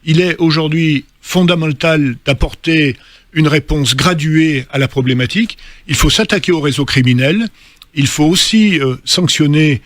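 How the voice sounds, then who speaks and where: A man speaking as a studio guest on a radio debate, measured and full of hesitations. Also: fairly high pitch, no echo.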